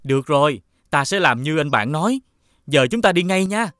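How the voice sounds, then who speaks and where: An earnest young prince in an animated fairy tale. His voice is fairly high and very animated, expressive in delivery. The sound is dry, with faint music behind. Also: normal speed. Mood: grateful, eager.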